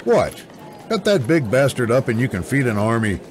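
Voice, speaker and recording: An actor playing an irreverent, sardonic scout in a fantasy audio drama, talking in a flippant, dry manner. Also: male voice, medium pitch, sing-song, moderate pace, noisy background, lightly acted. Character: irreverent, sardonic, rough-edged male scout.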